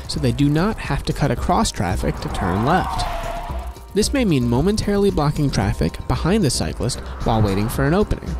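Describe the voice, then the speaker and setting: Man narrating a lesson over music. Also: mid-range voice. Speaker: narrator of a safety video. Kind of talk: scripted instructional narration. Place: voice-over over animation with background music.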